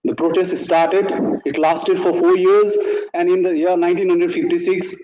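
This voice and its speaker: A man from India, a Nepali speaker, giving a formal, measured talk to an audience with frequent fillers. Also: high-pitched, flat delivery, dark tone. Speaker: invited speaker at a school event. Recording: dull echoey room recording.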